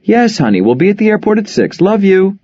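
A man voicing a bossy, harried middle-aged wife who gives brisk orders and turns sweet on the phone. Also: high voice, sing-song, no echo, voice pushed up, heavily acted. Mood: sweet phone talk. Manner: brisk, commanding, bright phone politeness. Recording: dull, dry studio audio.

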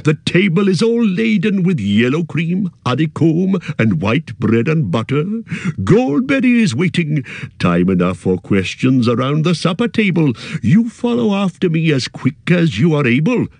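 Jolly booming old male nature spirit, voiced by a man, hearty rustic singsong, high and lively, dry sound. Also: unhurried, gravelly, no echo, exaggerated voice.

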